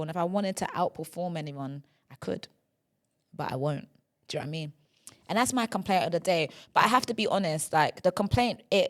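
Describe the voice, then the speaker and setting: A young woman, hosting a solo podcast and complaining in a chatty way. She ends almost every line with a tag question. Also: on the low side. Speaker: podcast host.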